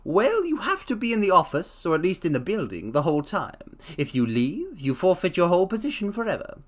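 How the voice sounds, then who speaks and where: A man voicing a formal older employer who speaks in a slow, measured, stately way. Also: high-pitched, huge pitch swings, voice pushed up. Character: formal older male employer, pompous and deliberate.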